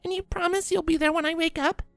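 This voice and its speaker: A man voicing a meek, affectionate young filly pony in a fan fiction story, speaking softly and pleadingly. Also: very high-pitched, fairly even intonation, fast, no echo, faint music behind, pitched above the performer's own voice. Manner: soft, timid, pleading.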